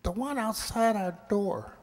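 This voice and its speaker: A man's voice: high-pitched, measured pace, a little mellow, big-room sound. An actor playing a persuasive, pleading little family man in a radio drama. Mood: coaxing, insistent. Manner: coaxing, insistent.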